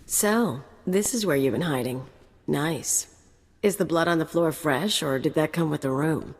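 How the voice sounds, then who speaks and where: A woman's voice: sits low, measured pace, slightly rough, full character voice. An actress voicing a cocky, reckless female mercenary with a sardonic, flippant manner. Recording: game audio with long reverb.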